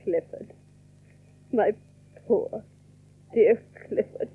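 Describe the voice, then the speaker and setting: An actress playing a proud, bitter, frightened old spinster in a radio drama, tremulous and halting. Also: female voice, mid-range voice, breathy edge, phone-quality sound, heavily acted. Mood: grieving. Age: older.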